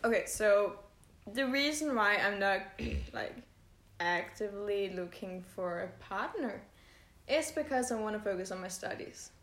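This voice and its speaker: Young woman with a bright tense voice, hesitant and self-mocking, echoey room. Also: medium pitch, expressive, measured pace. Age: young adult. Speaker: podcast co-host. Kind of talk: casual chat.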